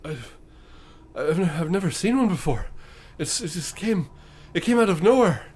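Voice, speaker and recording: Man playing a halting, earnest soldier, raised pitch. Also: sing-song, darkish tone, breathy edge, exaggerated voice. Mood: shaken. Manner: halting, repeats words. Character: earnest, shaken male soldier, modest and selfless.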